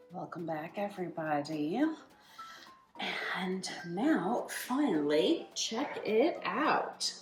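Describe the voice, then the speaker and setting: An American woman in her forties presents a casual home cooking demonstration as host, speaking haltingly with pauses. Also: mid-range voice. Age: 40s. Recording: roomy kitchen echo with music. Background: music, sizzling food and stirring.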